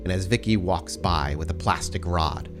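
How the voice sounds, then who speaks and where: A man with a low voice runs a tabletop game as storyteller. He describes scenes deliberately, halting as he improvises. Music plays clearly behind him. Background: music bed.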